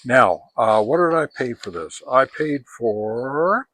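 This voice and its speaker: An older American man giving a casual, unhurried product review, explaining things step by step. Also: mid-range voice, lively intonation, a bit bright. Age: older, 60s or above.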